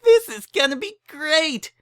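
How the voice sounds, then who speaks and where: A man voicing an excitable, cheerful young female pony with a bubbly, emphatic manner. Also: extremely high pitch, a little slow, dry recording, studio quality, pitched above the performer's own voice, heavily acted. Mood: bubbly, gleeful.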